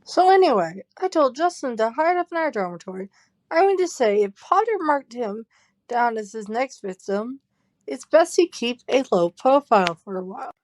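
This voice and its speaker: A young schoolchild in an audio play, acted by a woman, high-voiced and swooping with emphasis. The kid is gossipy and insistent, heard in a dry recording. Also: sing-song, moderate pace, a little mellow, no echo, low-quality recording. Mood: insistent, suspicious. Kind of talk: audio drama character acting.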